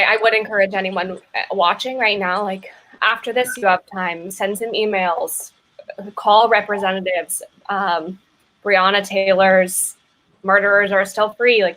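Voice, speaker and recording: A woman actor making earnest personal remarks on an online call, speaking carefully and a little haltingly. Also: mid-range voice, a bit bright, rough recording. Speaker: actor in an online play reading.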